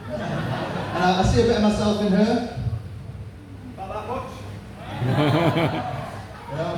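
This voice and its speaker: Mancunian comic in an echoey room. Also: male voice, fairly high pitch. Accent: Manchester English. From England. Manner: punchy crude joke delivery. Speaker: stand-up comedian. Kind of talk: stand-up set. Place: pub stage. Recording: echoey room from far off, noisy.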